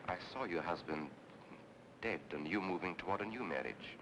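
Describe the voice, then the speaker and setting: Deep-voiced, mysterious noir psychic. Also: male voice, expressive. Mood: smooth, knowing. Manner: theatrical, measured, philosophical. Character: suave, mysterious male psychic consultant, softly seductive and insinuating. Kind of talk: 1940s film noir acting. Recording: noisy old film soundtrack with rumble.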